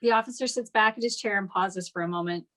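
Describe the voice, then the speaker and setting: A woman's voice: medium pitch, quick pace, pushed and bright. A woman reading scripted stage directions as narrator at a table read.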